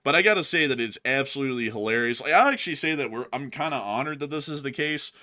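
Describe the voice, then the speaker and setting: A young man co-hosting a casual livestream, chatty and animated, with a lot of "like" and "I mean". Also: medium pitch. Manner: animated, laughs often, acts out quotes loudly; many fillers like "like" and "I mean". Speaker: co-host of a news channel. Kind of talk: casual livestream chat reading donations.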